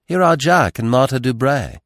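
A man voicing a detective's earnest, impatient gentleman companion in a blunt manner, for a mystery audiobook. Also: deep voice, sing-song, normal speed, no room sound, clean studio sound. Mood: startled.

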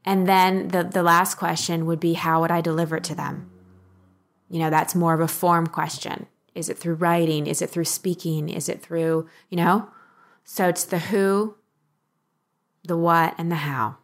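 A woman with a voice a little on the low side speaks as a life coach and podcast host. She explains things in an instructive way and encourages the listener. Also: steady tone, normal speed.

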